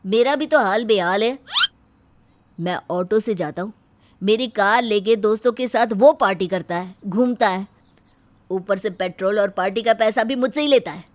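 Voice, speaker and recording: A harried middle-aged household father, acted by a woman, speaks at a medium female pitch. He grumbles but stays polite, as a character in a domestic moral tale. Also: normal speed, lightly acted. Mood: aggrieved.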